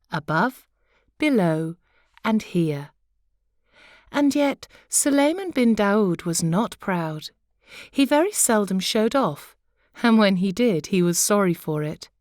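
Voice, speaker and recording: A woman tells a children's tale in a medium-pitched voice. Her phrasing is deliberate and theatrical, like a practised storyteller, and the recording is dry with little room sound. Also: a little mellow, no echo. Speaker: storyteller, professional voice artist. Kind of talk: expressive children's story narration.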